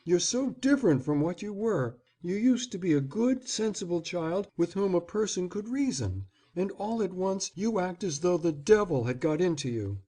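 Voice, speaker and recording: A man's voice: sits high, expressive, moderate pace, hardly any pauses, dark tone, pitched above the performer's own voice. A man reading aloud, voicing an anxious, scolding upper-class mother. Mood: pleading. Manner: pleading then stern and commanding.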